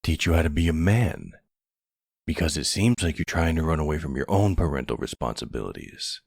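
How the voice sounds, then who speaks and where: A man playing a smug, flirtatious middle-aged mafia boss in an ASMR roleplay drama, sweet-talking and gravelly. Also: very deep voice, animated, fairly quick, a little mellow, no echo, clean studio sound, full character voice. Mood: smug, commanding.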